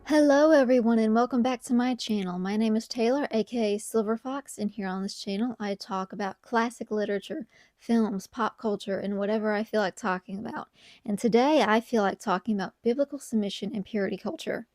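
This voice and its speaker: A hoarse, tired woman whispering at home at night, chatting casually as host of her own channel. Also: on the high side, fairly quick, no room sound, studio quality. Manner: whispering softly; apologetic, tired but upbeat. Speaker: video essay host.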